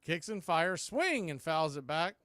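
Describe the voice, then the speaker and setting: A man's voice: fairly high pitch, sing-song, a little slow, a bit bright, no echo, studio quality. A man giving live baseball play-by-play commentary as an announcer, in clipped phrases that swell with the action. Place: indoors, calling the game from a video feed.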